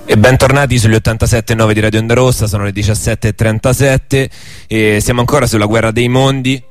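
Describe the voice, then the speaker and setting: A man narrates a scripted documentary as a radio host. His pitch is average, and faint music plays behind him. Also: fairly even intonation, fast. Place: radio studio.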